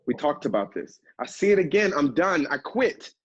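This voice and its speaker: An actor playing an earnest, emotional man who knows addiction firsthand, speaking haltingly in a stage play. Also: fairly high pitch, very animated, husky. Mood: exasperated. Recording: roomy, dull stage recording.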